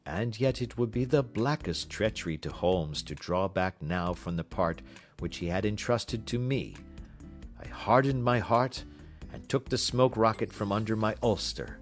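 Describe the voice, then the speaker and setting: A man narrating a classic literary story as an audiobook, in a measured, expressive storytelling manner. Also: fairly low pitch, soft background music.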